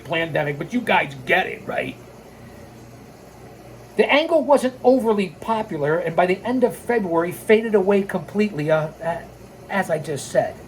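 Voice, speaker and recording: A host of a wrestling storytelling show speaks in a mid-pitched voice over audible background noise. The delivery moves between scripted narration and informal commentary. The manner is opinionated, blunt and sarcastic, with profanity in the asides. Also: vocal fry, low-quality recording. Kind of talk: reading a scripted biography with ranting, informal asides.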